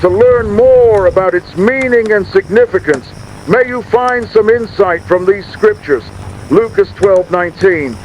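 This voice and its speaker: An actor playing a grave, menacing reverend who solemnly declaims vengeful scripture in a recorded sermon for a radio horror drama. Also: male voice, high-pitched, unhurried. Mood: solemn, ominous. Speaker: church reverend. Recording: muffled recorded message, faint noise.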